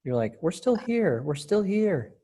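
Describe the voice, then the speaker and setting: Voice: medium pitch, big pitch swings, unhurried, warm tone, husky. An upbeat, encouraging person casually coordinating a readers theater event over a video call.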